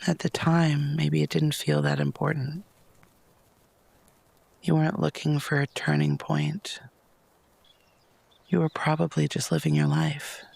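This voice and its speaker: A man softly narrating a guided meditation, gentle and unhurried, with long pauses and breathy phrases that lapse into near-whispers. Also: on the high side, lively intonation, dry recording.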